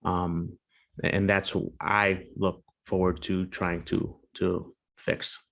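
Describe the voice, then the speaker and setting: A man speaking as a school board candidate, slowly trailing off at the end of his answer. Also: low-pitched, flat delivery, gravelly, a bit bright.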